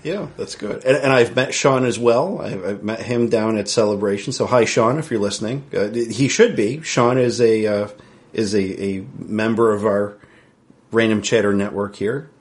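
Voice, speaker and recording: A man's voice: fairly low pitch, moderate pace. A man from Central New York hosting a podcast interview, friendly and chatty, often repeating words as he starts a sentence. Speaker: podcast host. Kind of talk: casual interview chat.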